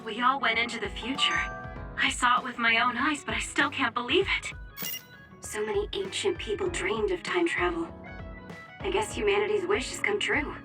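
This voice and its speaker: A woman's voice: a little high, moderate pace, far from the mic, music in the background, lightly acted. A woman playing a thoughtful young woman musing to herself in an English game dub, in a reflective way. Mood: pensive.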